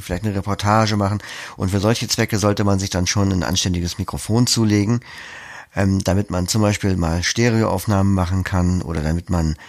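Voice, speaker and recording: Man, lowish pitch, gravelly creak, podcast producer as interviewee, conversational explanations. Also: no room sound, clean studio sound.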